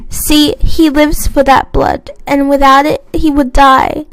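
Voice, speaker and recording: A woman's voice: high-pitched, fairly even intonation, takes it slowly, vocal fry, no echo, low-quality recording. A woman reading a scripted first-person horror story as narrator. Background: faint music.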